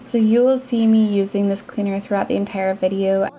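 A woman's voice: mid-range voice, fairly even intonation, a little fast. A young adult woman with an American accent, narrating a cleaning vlog in a casual, conversational, explanatory way. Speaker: cleaning vlogger.

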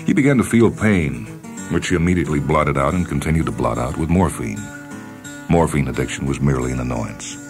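A man reading a short story as scripted radio narration, deliberate and wry, with theatrical phrasing and dramatic pauses. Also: a bass voice, expressive. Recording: music bed under narration. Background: background music with guitar.

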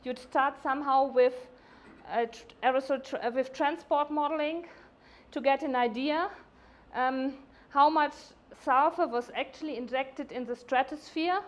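A middle-aged woman giving a scientific conference presentation, with a hesitant, halting delivery and frequent self-corrections. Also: high-pitched, lo-fi sound. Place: indoor workshop meeting room.

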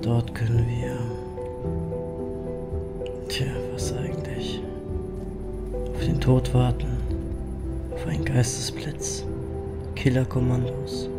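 A weary, grim reaper narrates his own horror tale, acted by a man in a mid-pitched, measured, brooding voice. Music plays clearly behind him. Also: monotone, natural voice. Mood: brooding, resigned. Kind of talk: first-person audio drama narration.

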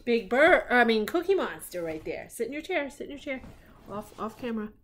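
A middle-aged woman reads a picture book to young children in her living room. Her pitch moves around a lot as she slips between narration and chatty questions. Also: fairly quick, slight background noise. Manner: animated, questioning, child-directed. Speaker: grandmother reading picture books to children. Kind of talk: storybook read-aloud with casual asides.

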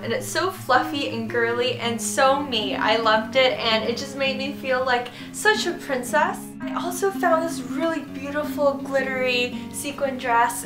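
A young woman in her twenties with a cold and a congested voice, chatting bubbly and enthusiastic in a casual fashion haul vlog from her bedroom. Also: sits high. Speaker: fashion vlogger. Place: bedroom, indoors. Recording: roomy echo with background music.

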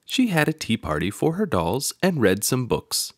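A man narrating a children's picture book aloud in an expressive storytelling manner. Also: medium pitch, normal speed, no echo, clean studio sound.

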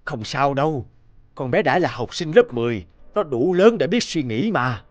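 A man playing a stern, hot-tempered middle-aged father in an animated drama, scolding and exasperated. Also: fairly high pitch, moderate pace, no echo, lightly acted. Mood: resigned.

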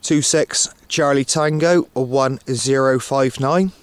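A man narrating a casual outdoor vlog in a hesitant, matter-of-fact way, often pausing as he goes. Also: mid-range voice, clean tone, dry recording. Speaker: radio hobbyist filming himself. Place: outdoors on an open hilltop. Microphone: handheld camcorder, operator behind camera.

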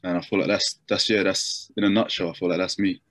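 A man's voice: low voice, level tone, fast talker, a bit bright, dry recording. A young man on a video call from his bedroom, telling his story with many fillers and restarts. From Japan. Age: young adult. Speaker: interview guest. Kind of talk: casual video-call interview. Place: bedroom at home. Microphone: laptop webcam mic on video call.

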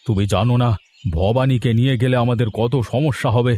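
A man's voice: mid-range voice, fairly quick, a little mellow, natural voice. A man voicing a greedy, bullying village uncle with a confrontational, scolding manner in a melodramatic cartoon. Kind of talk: melodramatic Bengali moral-story cartoon acting.

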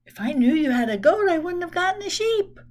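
A woman plays an earnest little girl from a storybook. She is cheerful, innocent and takes everything literally, in a high voice with animated swings. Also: fairly quick. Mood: innocent surprise.

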